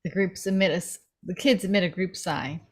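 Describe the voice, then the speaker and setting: A woman's voice: fairly low pitch, a little fast, breathy edge. A woman reading stage directions as narrator in a scripted table read.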